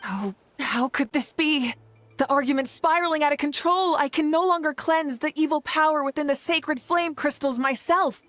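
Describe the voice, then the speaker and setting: A woman voicing an earnest young woman in a video game who recites a melodramatic plea in a rehearsed, halting way. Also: sits high, fairly bright tone. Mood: staged distress.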